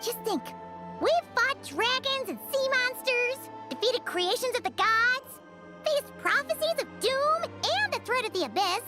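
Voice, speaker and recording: A childlike fairy sidekick from a video game, squeaky and extremely high. The delivery is perky and animated, eager but easily flustered. Loud music plays behind the voice. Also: female voice, measured pace. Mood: rallying. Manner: perky, speaks of herself in third person. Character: tiny childlike floating fairy girl companion, eager, fretful. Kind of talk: video game character acting.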